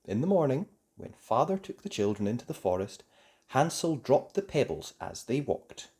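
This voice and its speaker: A children's story reader narrating a fairy tale. The delivery is theatrical and emphatic, with very lively rises and falls in pitch. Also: normal speed, husky. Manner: theatrical, emphatic for young listeners. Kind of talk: expressive scripted storybook narration.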